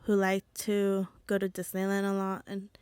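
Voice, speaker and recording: A young woman hosts a podcast on her own, chatting along with plenty of fillers and restarts. Allergies leave her voice nasal and a little rough. The recording is dry and close, with no room sound. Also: mid-range voice, monotone, moderate pace, a little mellow, no echo. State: stuffy from allergies. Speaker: podcast host. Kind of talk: casual solo podcast chat.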